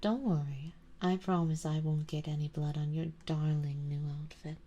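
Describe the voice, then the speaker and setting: A woman playing an elegant, doting, possessive vampire noble in an ASMR roleplay, in a soft, breathy, mostly whispered voice with a genteel, coaxing manner. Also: sits low, steady tone, normal speed, lo-fi sound. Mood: soothing, intimate.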